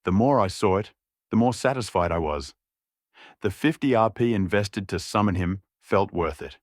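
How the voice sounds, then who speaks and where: Man, low-pitched, audiobook narrator, scripted storytelling, dry recording. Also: expressive, normal speed, no room sound.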